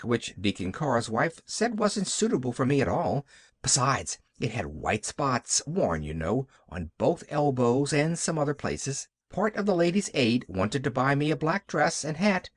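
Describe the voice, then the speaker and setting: A man's voice: mid-range voice, dry recording. A man voicing a glad little orphan girl who rambles breathlessly and eagerly in a storybook reading.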